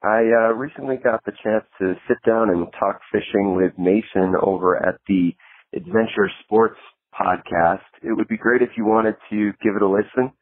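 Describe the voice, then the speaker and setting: A man's voice: on the low side, normal speed. A man leaving a casual self-promotional message for a podcast as a listener, on a thin phone-like recording. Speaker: podcast listener giving a plug. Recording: tinny band-limited phone-like sound, dry.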